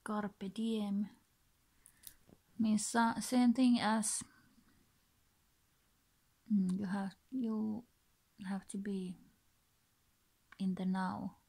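A woman in her thirties with a Finnish accent, talking casually and hesitantly to the camera in short, halting, breathy phrases. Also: mid-range voice, little pitch movement, mellow, rough recording. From Finland. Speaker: vlogger introducing her channel. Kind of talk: casual unscripted talk to camera. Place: small bedroom, indoors. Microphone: built-in device mic, close to face.

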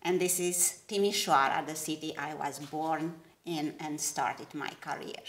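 A middle-aged woman with a Romanian accent giving a gracious, measured acceptance speech at a podium. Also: low voice, fairly even intonation, a little hiss or hum. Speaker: award recipient giving a lecture. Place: wood-panelled lecture hall. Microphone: podium microphone.

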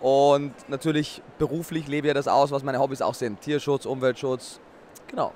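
A man's voice: medium pitch, fairly even intonation, normal speed, a little mellow, a little hiss or hum. A young adult man from Austria, answering interview questions fluently at an indoor expo booth into a handheld mic, often chuckling before he answers. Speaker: interview guest, nutrition expert.

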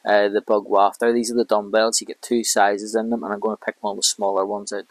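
A man in his thirties, a fishing vlogger, calmly explaining each step of his rig while working outdoors by a lake with a clip-on mic. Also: lowish voice, fast, no room sound. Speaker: carp angler vlogger. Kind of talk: casual how-to commentary.

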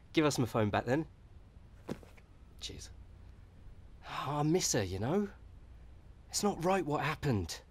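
A young British man in a radio soap. His voice is fairly high and very animated, with a blunt, cheeky, casual manner. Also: slow pace, pauses often, a little hiss or hum. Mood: wistful. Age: young adult. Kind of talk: naturalistic radio soap acting.

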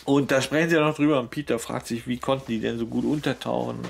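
A man's voice: mid-range voice, steady tone, fast, gravelly, a little hiss or hum. A man chatting casually as a podcast host, retelling a story in colloquial, crude slang. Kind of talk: casual chat retelling an audio drama episode.

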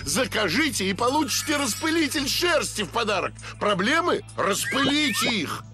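A slick commercial announcer. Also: male voice, very high-pitched, sing-song, normal speed. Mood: salesy. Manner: smooth commercial voice-over. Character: slick male advertisement announcer. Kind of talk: Russian video game dub. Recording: game cutscene with loud music.

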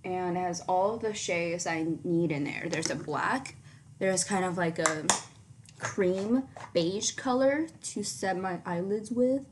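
A teenage girl chatting casually through her makeup routine in a small bedroom, rambling with lots of ums and likes, her voice fairly low for her age. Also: slow pace, background noise. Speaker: beauty vlogger. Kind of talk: casual makeup tutorial chat.

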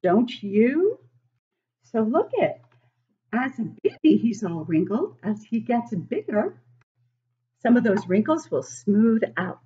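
Woman, 60s, teacher reading to little kids. Unhurried, exaggerated, swooping delivery, slightly muffled home webcam recording. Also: on the high side. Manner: expressive, exaggerated, asks listeners questions. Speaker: teacher reading a picture book to children. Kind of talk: animated read-aloud for young children. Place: living room at home, indoors.

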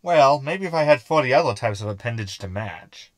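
A skeptical, easily unnerved hologram, voiced by a man, carps sarcastically through a sci-fi comedy drama. The voice is medium in pitch and recorded with no room sound at all. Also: animated, fairly quick, dry recording, lightly acted. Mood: indignant. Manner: sarcastic, querulous.

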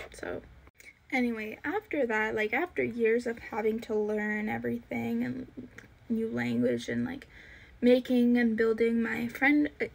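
A woman's voice: on the high side, gravelly, slightly rough, low-quality recording. An eighteen-year-old woman from Italy chatting casually while doing her makeup in her bedroom, filming herself on a phone held close. She rambles a bit and leans on fillers. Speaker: vlogger. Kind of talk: casual get-ready-with-me chat. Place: small bedroom indoors. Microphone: phone camera at arm's length.

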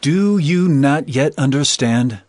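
A man's voice: a little high, expressive, slow pace, a little mellow, no room sound. An actor playing an earnest male religious teacher in a dramatized scripture reading, with emphatic delivery. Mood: reproving.

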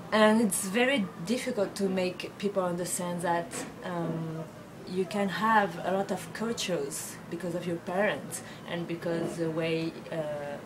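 A woman in her late twenties, born in France with Brazilian and Belgian parents, answering interview questions thoughtfully with many fillers. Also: fairly low pitch. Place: bedroom with bookshelves, indoors. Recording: clear background noise.

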